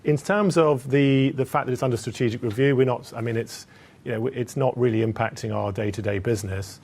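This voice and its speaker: A man speaking as a company executive, answering analysts on an earnings call in a hesitant, halting, measured way. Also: mid-range voice, creaky, darkish tone.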